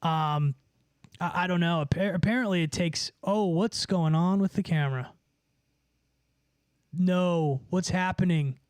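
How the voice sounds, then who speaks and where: A man's voice: high voice, unhurried, pauses often, clean tone, no room sound. A man livestreaming, chatting casually with viewers in a rambling, profane, slangy way. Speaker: livestreamer and musician.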